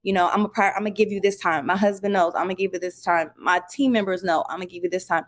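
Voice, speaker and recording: A woman in her forties, a podcast guest, telling stories in a relaxed conversational way with many fillers and lively acted-out quotes. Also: fairly low pitch, fast. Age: 40s. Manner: animated storyteller acting out quoted remarks, many "like" fillers. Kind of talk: casual conversational interview.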